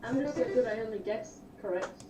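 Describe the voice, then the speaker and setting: A woman speaks from some way off the microphone in an echoey room with clear background noise. She chats casually and playfully while joining in a game. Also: on the high side, brisk, distant mic. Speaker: participant in a livestream game. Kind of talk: casual chat. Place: echoey room on an online call.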